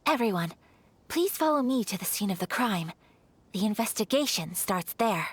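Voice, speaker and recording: A woman playing a poised, courteous young noblewoman with formal, measured manners in a video game. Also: mid-range voice, expressive, husky, no room sound. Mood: decisive. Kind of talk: video game dialogue acting.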